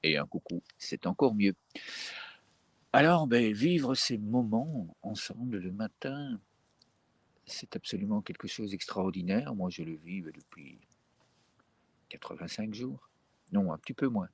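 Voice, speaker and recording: A man leading a live-streamed guided breathing session in a gentle, unhurried way, with frequent fillers and restarts. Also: on the low side, expressive, breathy edge, no echo.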